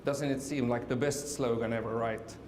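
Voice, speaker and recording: A middle-aged man from Finland gives a conference talk, stopping often with ums and repeated words. His mid-pitched voice rings around a large echoey hall. A faint hiss sits under the speech. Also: fairly even intonation, moderate pace, darkish tone. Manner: hesitant, many fillers and restarts. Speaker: conference speaker. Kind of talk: conference presentation.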